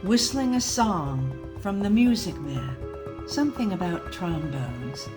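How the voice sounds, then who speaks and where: Woman, storyteller, lowish pitch, very unhurried, dramatic comic narration, deliberate, mock-serious, faint background music. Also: sing-song.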